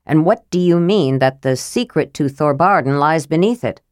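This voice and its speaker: A woman's voice: low voice, expressive, normal speed, no room sound, clean studio sound. A woman voicing a serious male half-elf leader in an audiobook, direct and probing. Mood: questioning. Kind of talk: audiobook character voice.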